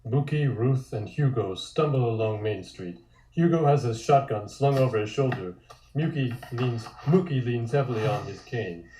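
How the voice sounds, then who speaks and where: Mellow male narrator in an echoey room. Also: medium pitch, animated, normal speed. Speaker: narrator reading stage directions. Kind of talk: scripted comic narration.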